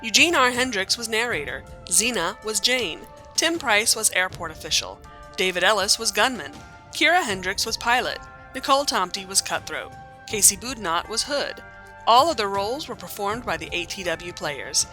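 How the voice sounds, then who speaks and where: Bright-voiced woman announcer over music. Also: mid-range voice, animated, normal speed. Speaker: announcer reading credits. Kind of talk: scripted credits announcement.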